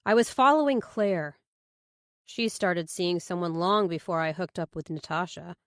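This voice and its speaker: A woman's voice: on the low side, moderate pace, dry recording, clean studio sound, close to an everyday voice. A woman voicing an angry, bitter, threatening man in an audiobook, curt and defiant. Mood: bitter, confessing. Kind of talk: audiobook character dialogue.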